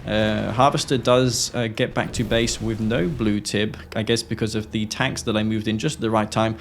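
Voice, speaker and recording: A man's voice: on the low side, fairly even intonation, normal speed, creaky. Man with sore throat commentating gameplay, noisy background. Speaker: strategy game commentator and player. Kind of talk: gameplay commentary of own match.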